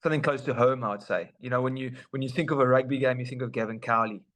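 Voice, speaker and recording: A man's voice: medium pitch, steady tone, fast talker, fairly bright tone. A man, co-hosting a podcast in hyped, slangy casual chat. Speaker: podcast co-host.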